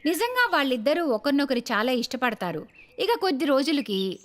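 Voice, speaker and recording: High-pitched, animated woman storyteller. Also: moderate pace. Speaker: narrator. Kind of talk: scripted narration of a moral story.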